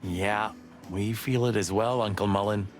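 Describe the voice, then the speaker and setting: A man's voice: fairly low pitch, steady tone, measured pace, soft background music, natural voice. A man playing a world-weary rooster detective in a noir adventure game, speaking in a wry, reflective way.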